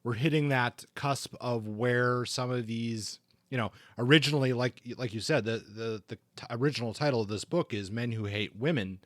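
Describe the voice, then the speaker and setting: A man co-hosting a book discussion, speaking casually in a thoughtful, halting way with repeated words. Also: mid-range voice, fairly bright tone, dry recording, clean studio sound. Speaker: podcast co-host reviewing crime novels.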